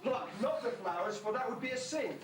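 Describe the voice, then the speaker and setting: A man's voice: extremely high pitch, animated, brisk, recorded from a distance. A man playing a pompous servant in an amateur pantomime, declaiming formally in mock-archaic rhyme. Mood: stately. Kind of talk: amateur pantomime acting, rhyming verse. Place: school hall stage, far camera. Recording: noisy, echoey amateur stage recording from afar.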